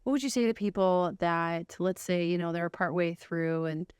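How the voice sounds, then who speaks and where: A woman's voice: lowish voice, normal speed, smooth, no echo, studio quality. A woman hosting a casual interview, chatty and informal, often affirming what she hears. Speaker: interviewer, host.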